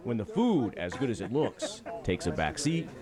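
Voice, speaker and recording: A man's voice: lowish voice, huge pitch swings, moderate pace, crowd noise. A man narrating a scripted television news feature as the reporter, with a playful, lilting delivery.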